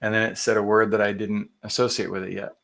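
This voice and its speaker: Male podcast host, low and gravelly, earnest and animated. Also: fast talker. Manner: earnest, animated, with many fillers and quoted self-talk. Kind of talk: casual reflective chat.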